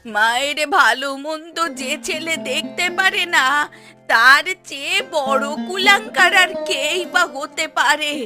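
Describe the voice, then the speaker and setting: A woman voicing a melodramatic, scolding old mother in a cartoon, guilt-tripping in drawn-out complaints. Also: high-pitched, music in the background. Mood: angry demanding. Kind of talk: Bengali cartoon voice acting.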